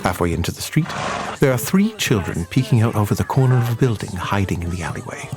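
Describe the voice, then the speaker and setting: Male narrator for an audio drama, lowish voice, scripted storytelling, music behind. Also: expressive, fast.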